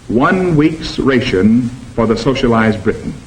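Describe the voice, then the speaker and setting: A man narrating a 1950s documentary film in a measured, emphatic delivery. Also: medium pitch, lively intonation, a little hiss or hum, rough recording.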